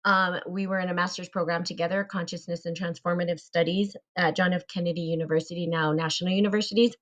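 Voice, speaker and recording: A woman's voice: on the low side, level tone, fast, a bit bright. A woman hosting a casual live online show, introducing a guest in an upbeat, enthusiastic way.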